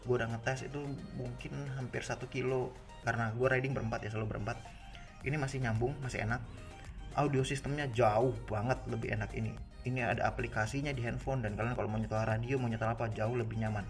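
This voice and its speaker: Casual Jakarta man, gravelly voice over music. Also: medium pitch, fairly even intonation, fast. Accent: Jakarta Indonesian. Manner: informal, conversational, explanatory. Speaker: motorcycle gear reviewer. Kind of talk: casual product review to camera. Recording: music behind the voice. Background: background music.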